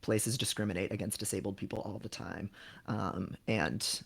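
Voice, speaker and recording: A man with a fairly low voice hosts a relaxed livestream. He gives frank advice conversationally, feeling his way through sentences as he goes. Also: moderate pace, vocal fry, husky. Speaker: livestream host giving job advice. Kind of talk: casual livestream chat, advising.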